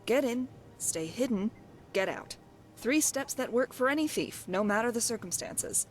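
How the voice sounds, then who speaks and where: A woman's voice: fairly high pitch, lively intonation, normal speed, soft background music, close to an everyday voice. A woman playing a glib, evasive thief companion in a fantasy video game, talking in a wry, coy way. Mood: wry storytelling. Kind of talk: scripted video game dialogue.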